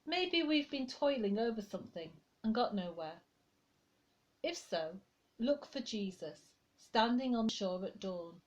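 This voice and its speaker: A woman reading a written church reflection aloud in a gentle, conversational way. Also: a little high, low-quality recording. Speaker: lay reader.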